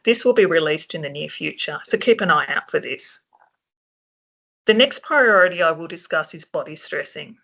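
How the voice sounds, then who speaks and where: A presenter with a croaky, flu-worn voice, reading steadily from a prepared script. The tone is bright and forceful. The audio is dull and lo-fi, as is typical of a webinar. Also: medium pitch, expressive, normal speed. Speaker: webinar presenter for a safety regulator.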